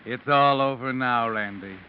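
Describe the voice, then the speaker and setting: An actor playing a knowing, taunting narrator in an old-time radio mystery, speaking deliberately. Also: male voice, mid-range voice, heavy background noise, natural voice. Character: sardonic omniscient narrator addressing the murderer. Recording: muffled old radio recording with music.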